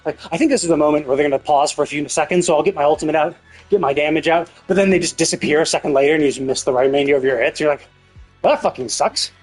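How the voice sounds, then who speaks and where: A man's voice: on the high side, very fast, slightly rough, soft background music. A man live streaming a game, talking casually in a rambling, opinionated way with some swearing.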